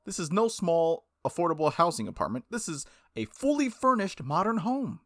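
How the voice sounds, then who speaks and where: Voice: mid-range voice, big pitch swings, moderate pace, noisy background. A gaming video host with an informal, playful way of talking, commenting casually and reading game text aloud. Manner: informal, giggly, frequent "like", playful asides. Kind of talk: casual gameplay commentary with read-aloud visual novel text.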